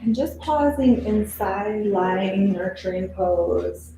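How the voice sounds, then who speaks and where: A woman yoga instructor leading a guided relaxation meditation in a soothing, unhurried, instructional way with long pauses. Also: mid-range voice, fairly even intonation. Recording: very echoey room with noise.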